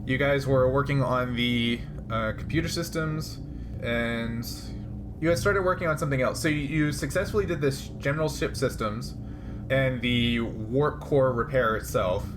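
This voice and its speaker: Halting man running a tabletop game over music. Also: medium pitch. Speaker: game master. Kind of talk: running a tabletop role-playing game, casual.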